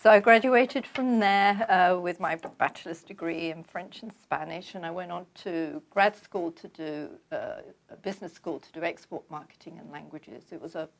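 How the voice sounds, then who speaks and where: An older woman from London with a London accent, calmly telling her life story as a guest in an interview. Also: lowish voice, vocal fry. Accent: London English. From London, England. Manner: measured, reflective storytelling. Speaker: guest author. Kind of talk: conversational interview.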